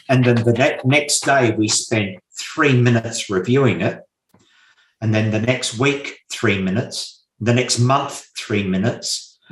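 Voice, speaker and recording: A man talks through his ideas in an unhurried, careful way, pausing on fillers and repeating the key point for emphasis. He is recorded in a room with a noticeable echo. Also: mid-range voice. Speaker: guest expert on memory and mind maps. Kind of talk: explanatory interview talk. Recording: roomy echo.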